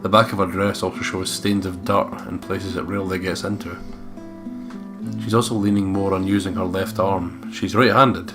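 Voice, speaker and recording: A man's voice: low voice, fairly even intonation, normal speed. A man reading visual novel narration aloud and giving casual, sometimes profane commentary as a let's play co-host. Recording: music clearly behind the voice.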